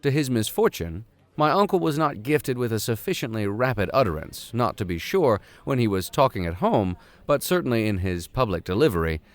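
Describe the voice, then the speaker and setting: Expressive man reading, very dry sound. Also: mid-range voice, brisk, dry recording. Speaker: audiobook reader. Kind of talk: expressive narration of classic literature with casual asides.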